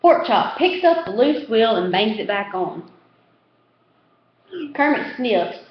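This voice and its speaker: Lively, higher-voiced woman reading aloud. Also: slow pace. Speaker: reader. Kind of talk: animated storybook read-aloud. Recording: roomy echo, slightly dull sound.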